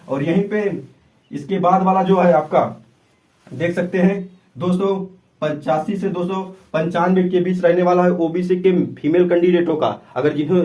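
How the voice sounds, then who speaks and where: A man's voice: sits high, dark tone. A man giving an informal, instructive explanation to viewers, often repeating himself and urging them to pay attention. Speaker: exam-prep YouTube presenter.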